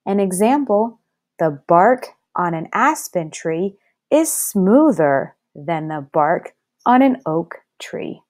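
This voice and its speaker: A woman speaks as a first-grade teacher leading a read-aloud lesson. Her pace is slow and deliberate, with each word carefully enunciated for young listeners. Her voice sits at a middling pitch for a woman. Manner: deliberate, clearly enunciated, instructive.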